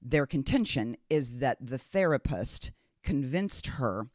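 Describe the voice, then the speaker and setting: A man's voice: medium pitch, animated, slow pace, no echo. Male true crime commentator, mid-range voice, conversational and opinionated, leans on repetition for emphasis, dry close sound. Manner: emphatic, conversational, repeats phrases for stress. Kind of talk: casual opinionated trial commentary.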